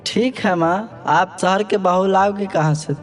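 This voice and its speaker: A man's voice: high-pitched, measured pace. A man voicing a mild, placating young village son and husband in a Hindi cartoon story. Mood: hesitant.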